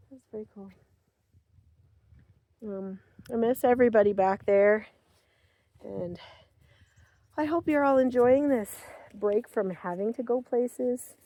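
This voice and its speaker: A woman's voice: medium pitch, dark tone. Breathless woman vlogging in the snow. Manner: rambling, chatty, with many fillers and quoted asides. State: short of breath from cold and altitude. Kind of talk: casual outdoor vlog storytelling. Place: outdoors on a snowy rural property.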